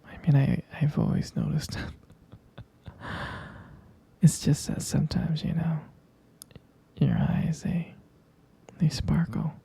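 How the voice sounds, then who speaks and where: A performer playing a tender, doting partner at bedtime in an intimate ASMR roleplay, in a breathy, gravelly whisper with a halting, affectionate delivery. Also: medium pitch, steady tone, heavily acted. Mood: adoring, flirtatious. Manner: slow, hushed, halting, affectionate.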